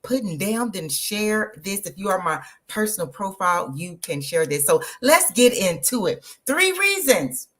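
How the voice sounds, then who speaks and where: A woman, hosting an energetic, motivational live stream and talking straight to her viewers. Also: on the low side. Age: 50s. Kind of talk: live social media stream.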